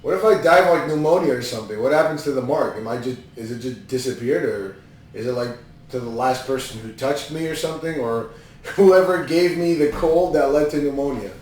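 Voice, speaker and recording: A man's voice: mid-range voice, a little fast, a little mellow. A man hosting a podcast, giving casual, rambling and incredulous movie commentary. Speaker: podcast host reviewing a movie. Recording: echoey room, distant mic.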